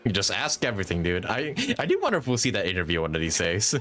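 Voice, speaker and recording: A man's voice: sits low, very animated, fast talker, fairly bright tone. A man live streaming a game with informal, chuckling commentary and some swearing. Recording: game music behind.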